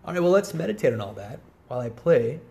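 A man's voice: mid-range voice, big pitch swings, quick pace, vocal fry, mellow. Self-deprecating man rambling solo, some background noise. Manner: self-deprecating, digressive, wry. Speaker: podcast host. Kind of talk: rambling solo casual monologue.

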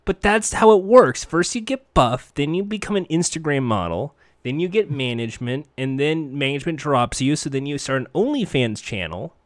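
A man joking with friends on a casual podcast. His voice sits high for a man and rises and falls a lot. He delivers his jokes in a mock-serious, deadpan way. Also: normal speed, few pauses. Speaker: podcast co-host. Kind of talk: casual comedic banter.

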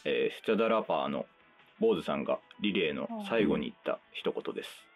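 Very low, mumbling man. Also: expressive, fairly quick, creaky, faint music behind. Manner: mumbling, murmured delivery. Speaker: radio show guest reciting quotations.

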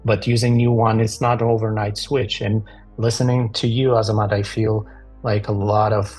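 A man from Ukraine with a low, husky voice speaks earnestly on a podcast. He tells stories at an even conversational clip with small hesitations. Faint music plays behind him. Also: moderate pace. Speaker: podcast co-host.

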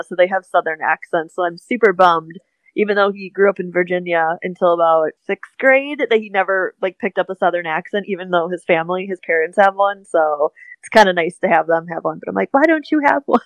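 A woman's voice: on the low side, a little fast, no room sound, poor audio. A woman in her thirties from Wisconsin with a Midwestern accent, chatting as a guest on an interview podcast, laughing often and using plenty of "um" fillers. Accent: Midwestern American (Wisconsin). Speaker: podcast guest, small business owner. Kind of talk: casual interview chat.

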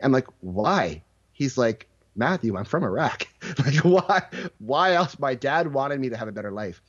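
A man's voice: medium pitch, sing-song, measured pace, highs cut off. A man speaking casually as an interview guest, telling anecdotes and voicing bits of dialogue. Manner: anecdotal, acts out quoted dialogue. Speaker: interview guest, leadership mentor. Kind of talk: casual conversational storytelling.

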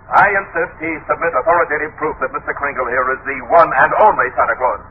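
A man's voice: a little high, quick pace, close to an everyday voice. A man playing an indignant, formal state prosecutor in old-time radio drama, pompous and declamatory. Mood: formal, insistent. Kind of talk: 1940s radio acting. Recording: thin, phone-like old radio recording with hiss.

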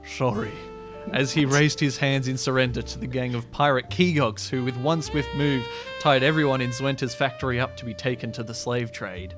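A man's voice: medium pitch, steady tone, fairly quick, few pauses. Self-amused man podcasting over music. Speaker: podcast host and amateur story writer. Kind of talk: reading his own sci-fi story, casual chat.

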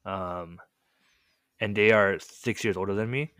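A young man with a very low voice tells his childhood story in a loose, rambling way, peppering it with "like" and "um". He grew up speaking Korean first. His voice sounds dry and close, with no room around it. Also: unhurried, no room sound. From United States. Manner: relaxed, rambling, heavy use of "like" and "um" fillers. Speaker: podcast guest telling his life story. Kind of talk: casual storytelling chat.

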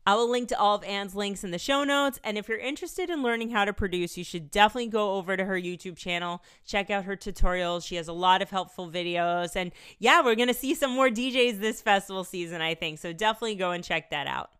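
Brief: young woman podcast host, slightly congested, chatty and upbeat, very clear voice. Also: medium pitch, fast, hardly any pauses, a bit bright. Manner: chatty, upbeat, laughs between phrases. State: congested, recovering from a cold. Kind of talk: casual podcast chat and solo outro. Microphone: studio microphone.

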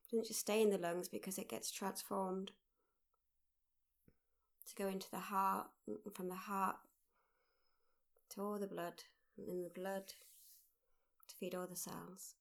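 A woman leading a guided mindfulness meditation, speaking gently in short, unhurried phrases with pauses. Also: mid-range voice, little pitch movement. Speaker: meditation guide, workshop leader.

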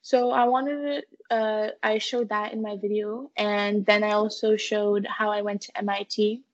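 A young woman speaks as an interview guest. Her delivery is earnest and conversational, with little pitch variation. The recording is somewhat dull and low in fidelity. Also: flat delivery, a little slow. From United States-born, living in Canada. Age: teens to young adult.

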